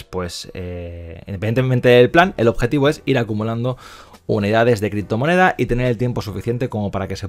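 A man with an accent from Spain giving casual, explanatory screen-share commentary as a video presenter, often repeating himself and checking in with little tag questions. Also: fairly low pitch. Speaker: video presenter promoting crypto mining investments.

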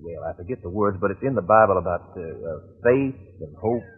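An actor playing a talkative, good-natured backwoods soldier with an American Southern accent, folksy and rambling, in old-time radio drama style. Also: male voice, low-pitched, expressive, brisk, slight background noise. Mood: earnest storytelling. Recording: muffled, narrow-band old radio recording.

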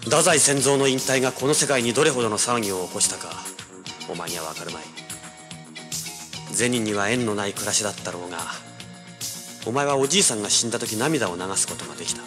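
A man acting a low-voiced, cocky treasure hunter. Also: fast talker, pauses often, music in the background, lightly acted. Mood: bitter, hard. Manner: cocky, rough-spoken, hard-boiled. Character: brash, tough young male treasure hunter narrating his adventure. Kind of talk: 1980s audio drama acting with first-person narration.

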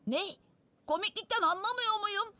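A woman's voice: very high-pitched, moderate pace, noisy background. A woman voicing a cheeky, impatient ten-year-old boy with a brash manner in a dubbed cartoon. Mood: mischievous. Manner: brash, dismissive. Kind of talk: animated series dub acting.

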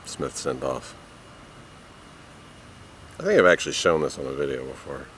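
A man in his thirties talking casually to a handheld camera at arm's length, relaxed and rambling. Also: very deep voice, moderate pace, slight background noise. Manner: relaxed, rambling, self-deprecating. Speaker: vlogger. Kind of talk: casual vlog talk to camera. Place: porch and living room of a house, then dark room.

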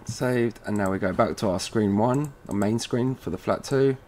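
Low-voiced man giving an informal software walkthrough. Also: normal speed. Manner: casual, thinking aloud step by step. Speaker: tutorial presenter. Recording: noisy room with keyboard typing.

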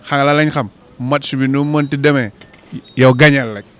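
Emphatic male fan outside, mid pitch, faint street ambience. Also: steady tone, normal speed, a little hiss or hum. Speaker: football fan interviewed. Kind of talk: street interview. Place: snowy city street outdoors. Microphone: handheld mic.

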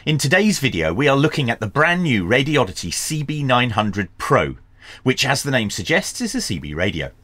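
A man in his fifties presenting a scripted product review in a measured, clearly enunciated way. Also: medium pitch, sing-song, fairly bright tone, no room sound. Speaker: host of a radio review channel. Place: small indoor workshop.

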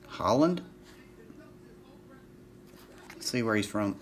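A man's voice: on the low side, animated, normal speed, a little hiss or hum. A man casually talking through a live trading card box break, reading out cards as he opens packs. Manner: casual, chatty, reads out cards while opening packs. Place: indoor table set up for card streaming.

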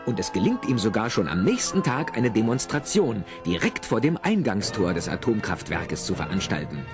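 A man with a husky voice narrating an audio drama in an animated, wry storytelling style. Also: lowish voice, normal speed. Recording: dry cassette studio narration over music. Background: music.

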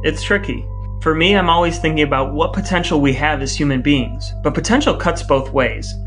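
An American man co-hosting a podcast in an articulate, measured, scripted delivery. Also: on the high side. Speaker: podcast co-host. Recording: guitar music behind the voice. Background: guitar music.

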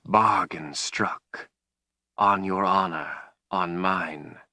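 Fantasy audiobook dragon, played by a man: female, proud, menacing, spitting scorn in a bright, forceful tone. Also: low-pitched, steady tone, extremely slow, dry recording, exaggerated voice. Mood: sly. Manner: hissing, spitting contempt.

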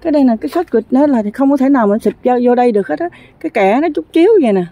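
A woman's voice: sits high, animated, racing, mellow, dry recording. A woman giving a chatty, rambling walking commentary while touring a construction site outdoors, filming on a handheld phone. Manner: chatty, rambling, many fillers.

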